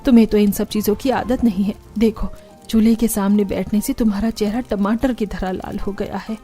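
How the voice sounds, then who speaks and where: A woman voicing a gentle, concerned middle-aged village mother-in-law in a cartoon story, in a fretful, motherly manner. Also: mid-range voice, steady tone, brisk, faint music behind, close to an everyday voice. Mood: fretting.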